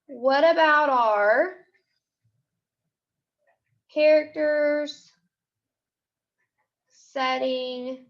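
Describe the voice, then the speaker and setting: A woman teaching a class, asking questions and repeating questions and answers slowly for her pupils. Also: high-pitched, muffled recording. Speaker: teacher leading a class read-aloud.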